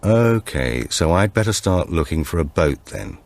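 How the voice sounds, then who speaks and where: A man's voice: sits low, sing-song, normal speed, a little mellow. A man voicing a practical, questioning young woman in an audiobook thriller.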